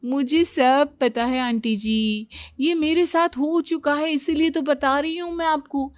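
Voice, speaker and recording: A woman's voice: high-pitched, measured pace, no echo, voice pushed up. A woman voicing an eager, boastful young daughter-in-law in a cartoon family story, insistent and keen to explain everything. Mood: confident, advising.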